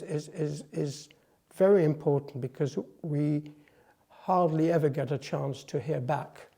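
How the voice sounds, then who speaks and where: A man with a mellow, gravelly voice, set a little high for a man. He is a psychotherapist whose first language is French, and he talks thoughtfully about his work. He halts often, says um, and restarts his phrases as he searches for the right words. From France, Netherlands, US, England; a mixed upbringing. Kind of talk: reflective interview talk.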